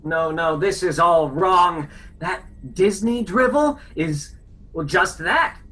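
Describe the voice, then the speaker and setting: Man as a raspy, indignant fairy-tale traditionalist. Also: high voice, takes it slowly, sharp tone, heavily acted. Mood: stubborn, scornful. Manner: pompous, exasperated. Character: indignant, stubborn male defender of old fairy tales. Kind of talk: radio play acting.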